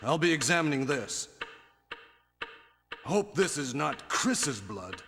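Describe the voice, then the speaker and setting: Protective veteran police teammate, 1990s game; stilted, emphatic, reverberant, loud music behind. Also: male voice, on the high side, big pitch swings, slow pace, full character voice. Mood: concerned. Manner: stilted, over-emphatic line delivery. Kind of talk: 1990s video game voice acting.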